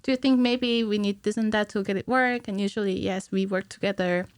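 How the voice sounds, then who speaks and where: A woman's voice: medium pitch, brisk, smooth. A woman in her thirties explaining things casually as a podcast guest, with a giggly manner. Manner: giggly, animated hand gestures. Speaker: podcast guest, cinematic animator. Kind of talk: casual explanatory interview chat. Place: small indoor podcast studio with curtains. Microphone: studio mic on stand, headphones.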